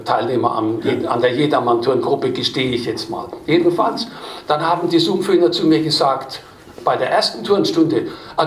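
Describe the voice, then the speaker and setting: A man's voice: medium pitch, a little hiss or hum. A man from Baden reading his own stories to a live audience, chatting between readings with self-mocking, chuckling humor. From Baden, Germany. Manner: self-deprecating, chuckling storyteller. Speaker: author reading from his book. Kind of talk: live book reading with anecdotes. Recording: distant mic in an echoey room.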